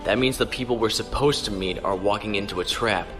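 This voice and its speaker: A man's voice: fairly low pitch, fairly quick, close to an everyday voice. Man playing a commanding young starship captain over music. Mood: grave urgency. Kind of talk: sci-fi audio drama acting.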